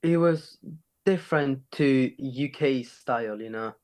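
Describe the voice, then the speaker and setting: A man's voice: mid-range voice, a little slow, a little mellow. A man from Sweden, a rapper and singer being interviewed, chatting casually with plenty of slang filler. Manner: slangy, with frequent "innit" and "you know". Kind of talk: casual chat.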